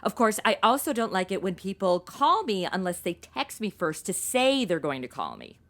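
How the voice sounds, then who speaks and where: A woman in her twenties playing a brash, excitable, slangy amateur detective in a comedic audio drama. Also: mid-range voice, moderate pace. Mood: sassy, nosy. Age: 20s. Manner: sassy, slangy, interrupting.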